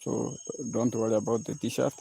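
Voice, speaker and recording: A man's voice: medium pitch, level tone, moderate pace, gravelly, warm tone, breathy edge. A man from Kenya hosting a live-streamed music lesson, repeating his question and coaxing viewers to answer.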